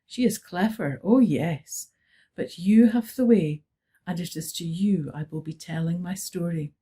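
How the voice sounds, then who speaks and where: A woman voicing a stately old Highland widow with a rich, powerful voice and a lilting Scottish Highland accent. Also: a little slow, warm tone, breathy edge.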